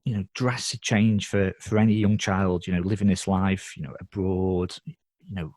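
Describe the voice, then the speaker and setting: Deep voiced guy hosting a podcast, lots of ums and you knows, dead dry sound. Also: steady tone, normal speed, darkish tone, no echo. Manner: hesitant, filler-heavy questioning. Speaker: podcast host. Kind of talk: interview questions.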